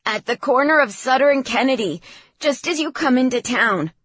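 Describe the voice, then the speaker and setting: A woman's voice: fairly high pitch, normal speed, a bit bright, dry recording. An earnest teenage boy acted by a woman narrator in an audiobook. He sounds agitated and emotional, with tension in his words and a dry, close sound. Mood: panicked, shaken. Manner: tense, emotional.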